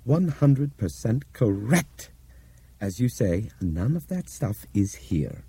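Man playing a deliberate, shrewd explainer, animated pitch. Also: husky, heavily acted. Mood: pleased, measured.

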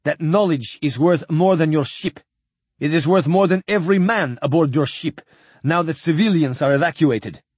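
Male reader doing a stern older admiral, clipped, article-dropping, pushed higher; dry booth sound. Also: moderate pace, darkish tone, husky, dry recording. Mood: coldly commanding. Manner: clipped, drops articles, demanding.

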